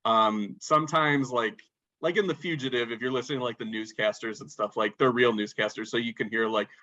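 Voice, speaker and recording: Animated, bright-voiced man chatting about films. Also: medium pitch, fairly quick, highs cut off. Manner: animated, filler-heavy talk with lots of "like". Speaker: podcast co-host. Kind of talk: casual movie podcast chat.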